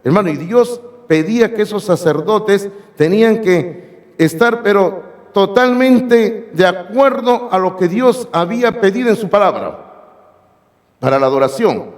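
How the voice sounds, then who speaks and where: Hoarse pastor preaching emphatically. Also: male voice, sits high, lively intonation, a little slow. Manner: emphatic, addresses the congregation directly. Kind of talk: sermon. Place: church sanctuary. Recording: long reverb of a church hall.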